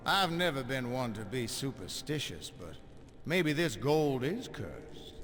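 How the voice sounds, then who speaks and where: Slow, grandiose Confederate colonel. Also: male voice, mid-range voice, sing-song. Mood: brooding. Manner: grandiose, theatrical. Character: proud, vengeful Confederate colonel in a Western. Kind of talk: dramatic video game acting. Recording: long reverb with loud music.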